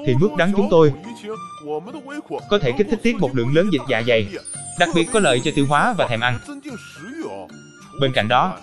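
Boastful high-voiced bitter melon played by a man. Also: expressive, normal speed, pauses often, music in the background, natural voice. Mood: boastful, combative. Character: boastful, quarrelsome talking bitter melon, proud of itself. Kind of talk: cartoon dub.

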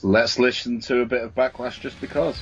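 A man's voice: medium pitch, level tone, a little fast. A man with an English accent in matey, informal casual chat as a podcast co-host. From born in Leeds, grew up in Worcester. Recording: dull, noisy lo-fi audio.

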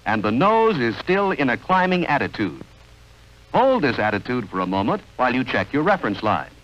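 A man reading scripted 1940s training film narration in a measured, instructional, clearly enunciated manner. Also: mid-range voice, big pitch swings, fairly bright tone. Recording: dull, band-limited vintage film soundtrack.

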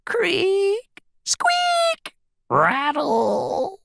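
A man's voice: very high-pitched, wildly swooping pitch, takes it slowly, noisy background, voice pushed up, heavily acted. A man voicing a small, polite, apologetic and curious mouse in a storybook, meek and courteous. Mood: busy, chirpy. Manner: meek, courteous, soft-spoken.

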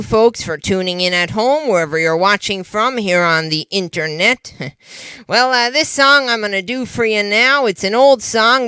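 A man's voice: high voice, bright, forceful tone, clear, resonant tone, dry recording. A man voicing a genial, shaggy male puppet monster, an earnest and folksy entertainer in a children's show. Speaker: puppet singer.

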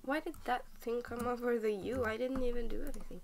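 A woman's voice: a little high, fairly even intonation, fairly quick, creaky, slight background noise. A young woman from Norway, just woken up and tired, rambling through casual game commentary at her computer, often half-whispering and stopping mid-sentence. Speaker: game vlogger.

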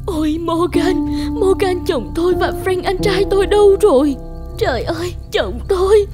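A woman's voice: very high voice, normal speed, loud music behind the voice. A woman voicing a distraught, pleading young wife in a cartoon drama. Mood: anguished. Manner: tearful, pleading.

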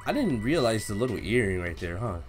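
A young man hosts a casual reaction in an animated voice that swings widely in pitch. His talk is slangy and full of gushing enthusiasm. Soft music plays behind him in a home room. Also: brisk. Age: young adult. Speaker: reaction video host. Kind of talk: excited casual reaction commentary, reading manga aloud. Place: home room with bookshelf, indoors.

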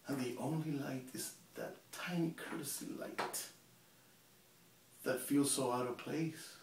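A man playing a cold, menacing serial killer confessing in a halting, intimate stage monologue. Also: medium pitch, fairly even intonation, lightly acted. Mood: eerie, entranced. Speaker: amateur actor performing a monologue. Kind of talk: theatrical dramatic monologue. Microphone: camera mic at some distance. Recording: roomy, distant camera mic, hissy.

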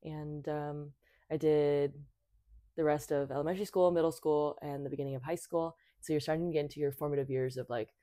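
Young woman guest, low voice, dry close sound, relaxed chatter with fillers. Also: a little fast, no echo. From Thailand. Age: 20s. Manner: chatty, many fillers and restarts. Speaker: podcast guest. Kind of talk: casual conversation.

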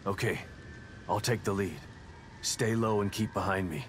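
An actor playing a weary, grieving male detective in a video game, talking low and tersely. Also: moderate pace, slight background noise, close to an everyday voice. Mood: dry. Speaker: game character.

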